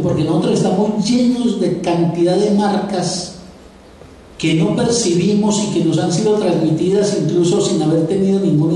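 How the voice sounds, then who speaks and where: A man's voice: high-pitched, dark tone. A man with a Paisa accent from Antioquia, giving a live lecture as a professor, talking conversationally to his audience and often repeating words while he thinks. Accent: Paisa (Antioquian Spanish). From Antioquia, Colombia. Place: auditorium. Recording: echoey auditorium from far off, faint noise.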